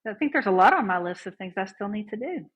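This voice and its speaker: Husky-voiced woman in her forties. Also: medium pitch, lively intonation, quick pace, a bit bright. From Southeast Texas. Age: 40s. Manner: chatty, upbeat storyteller. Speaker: guest band director. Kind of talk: casual podcast interview.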